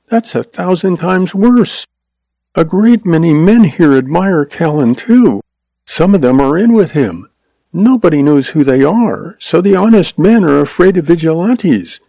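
Earnest, frightened young teacher voiced by a man, high and quivering, dark gravelly undertone. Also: no echo, exaggerated voice, rough recording. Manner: pleading, quivering.

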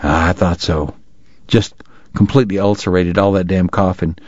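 A man's voice: low voice, fairly even intonation, moderate pace, a little mellow, no echo, lightly acted. A man voicing a brusque middle-aged doctor who speaks in a clipped way. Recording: dull lo-fi dry recording.

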